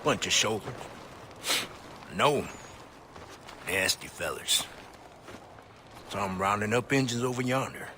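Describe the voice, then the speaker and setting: A man's voice: fairly low pitch, slow pace, long pauses, bright, forceful tone, a little hiss or hum. A man playing a shifty, nervous frontier deer hunter with a rustic American accent in a video game, folksy and evasive. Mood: wary, compliant. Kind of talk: video game cutscene acting.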